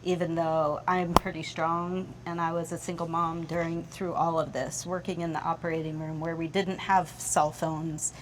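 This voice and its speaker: A woman's voice: sits low, flat delivery, normal speed, few pauses. A woman in her sixties, a guest mother on a podcast, speaking earnestly and reflectively in casual talk with light laughs. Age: 60s. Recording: faint background noise.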